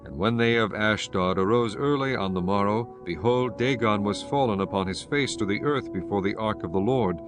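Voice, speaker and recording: A man reading scripted scripture narration in a solemn, measured manner. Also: fairly low pitch, music in the background.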